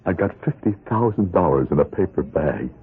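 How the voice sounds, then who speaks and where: An actor playing a bitter, desperate middle-aged ex-convict crook in an old radio drama, with a husky voice. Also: male voice, deep voice, animated, fairly quick. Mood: urgent, haunted. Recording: muffled, narrow-band old radio recording.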